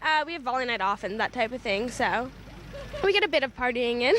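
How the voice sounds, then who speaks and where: A woman's voice: fairly high pitch, expressive, a little fast, a bit bright, slight background noise. A woman with an American accent, chatting casually in an interview as an event volunteer. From Seattle, United States.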